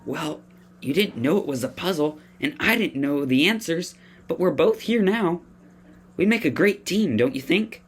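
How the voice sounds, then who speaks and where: Woman playing a husky, curious young boy. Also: low voice, expressive, normal speed. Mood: friendly, encouraging. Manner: earnest, inquisitive. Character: curious, friendly, clever young boy, polite and questioning. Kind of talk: family audio drama acting.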